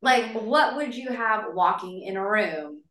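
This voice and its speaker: A woman's voice: medium pitch, expressive, a little slow, a bit bright. A woman from California chatting casually as a podcast co-host, effusive and emphatic. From Riverside, California, United States. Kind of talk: casual chat, fan Q&A. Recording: echoey room, distant from mic.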